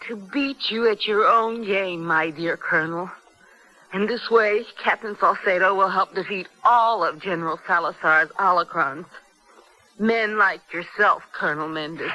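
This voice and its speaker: Bright, defiant young woman prisoner. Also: medium pitch, rough recording. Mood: steely, triumphant. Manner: measured, deliberate, dramatic. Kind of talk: 1970s radio drama acting.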